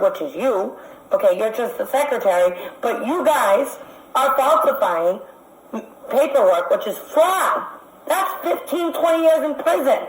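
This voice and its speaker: Insistent woman caller in an echoey recording. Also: high voice. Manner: insistent, accusatory, halting. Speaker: caller making a complaint. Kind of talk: phone complaint. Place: phone call. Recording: echoey phone call recording.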